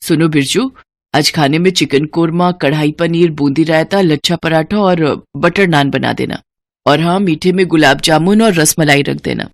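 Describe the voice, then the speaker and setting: A woman's voice: low voice, normal speed, no echo. A woman playing a wealthy, hospitable middle-aged mother in a cartoon story, insistent and commanding like an auntie of the house.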